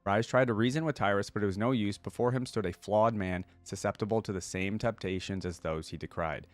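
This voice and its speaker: A man's voice: fairly low pitch, brisk, dry recording. A man reading stories aloud and giving casual, chatty commentary as a reaction host. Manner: informal, chatty.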